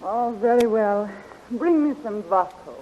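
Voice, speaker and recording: A captive queen in a 1930s radio serial, imperious and coaxing. Her pitch swings widely as she talks. The recording is muffled, with a faint layer of noise. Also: lively intonation, a little slow, warm tone. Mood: haughty.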